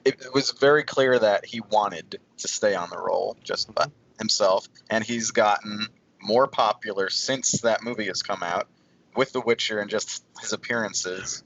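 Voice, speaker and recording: A man with a lowish voice gives casual opinion commentary as a podcast co-host. The sound is a touch dull and lo-fi. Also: normal speed, gravelly, fairly bright tone.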